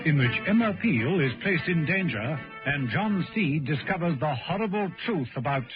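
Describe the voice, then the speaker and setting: A man's voice: on the high side, lively intonation, normal speed, highs cut off, lightly acted. A man narrating a suspense radio serial in a dramatic scripted style, on an old radio recording. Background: loud music.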